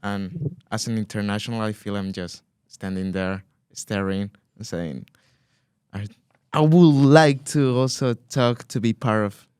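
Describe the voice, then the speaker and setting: A man in his twenties from Colombia, raised in Spain, whose first language is Spanish, talking as a podcast guest and pausing and restarting as he looks for words. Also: on the low side, darkish tone, clear, resonant tone, dry recording, studio quality. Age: 20s. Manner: hesitant, restarts when searching for words. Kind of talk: casual interview.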